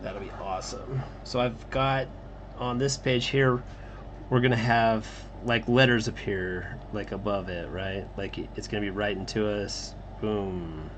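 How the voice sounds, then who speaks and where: A man's voice: fairly low pitch, background noise. A man hosting a casual livestream while drawing comics, chatting with co-hosts who can't be heard. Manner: relaxed, talks to unheard co-hosts, halting.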